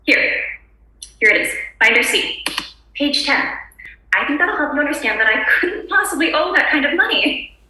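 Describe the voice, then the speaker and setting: A woman's voice: high-pitched, animated, moderate pace, pushed and bright, full character voice. A woman acting a frazzled suburban housewife at a screenplay table read, insistent, nervous and desperately polite with brittle cheer. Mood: stunned, insistent. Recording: very echoey room recording.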